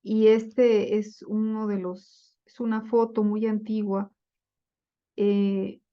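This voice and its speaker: A woman giving a slide-based lecture on Bible translation, speaking deliberately with frequent fillers and self-corrections. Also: medium pitch, level tone, clear, resonant tone, highs cut off.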